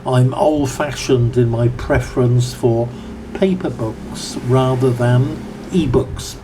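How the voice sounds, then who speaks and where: A man in his seventies with a southern English accent, reading an informal lecture from notes in a measured, bookish way with formal phrasing. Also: medium pitch, background noise. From Berkshire, southern England. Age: 70s. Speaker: amateur book reviewer giving an informal lecture.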